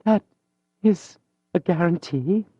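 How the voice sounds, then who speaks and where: A man's voice: high-pitched, animated, slow pace, mellow, husky, dry recording, pitched above the performer's own voice, exaggerated voice. A man voicing a passionate young noblewoman. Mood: yielding. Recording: dull dry studio audio.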